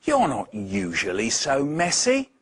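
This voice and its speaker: Man playing a weary, slow, scolding woman. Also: medium pitch, wildly swooping pitch, husky, full character voice. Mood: reproachful. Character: weary, reproachful mother or woman teacher.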